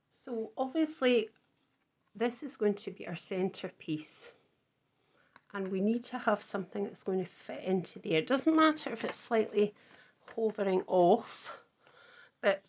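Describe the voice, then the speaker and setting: Woman, croaky and slow-paced, casual crafting tutor who thinks out loud. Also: mid-range voice. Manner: chatty, thinks aloud while working. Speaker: card-making crafter.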